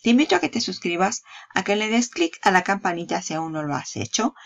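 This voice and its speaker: A woman's voice: medium pitch, gravelly, fairly bright tone, muffled recording. A woman giving a casual, chatty walkthrough of a product page, talking straight to the viewer and laughing at herself. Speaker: marketing YouTuber.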